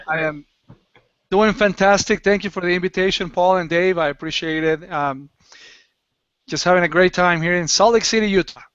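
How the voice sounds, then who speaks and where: A man's voice: sits high, normal speed, long pauses, a bit bright, clean tone, no echo. A man from Colombia, a guest on a video call, chatting casually and laughing between phrases. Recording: muffled lo-fi video call audio.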